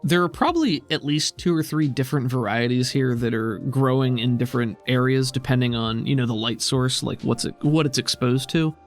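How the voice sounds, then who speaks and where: Male game master with an average-pitched voice, describing scenes in a measured, explanatory way, music underneath. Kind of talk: improvised tabletop game narration. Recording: music behind the voice.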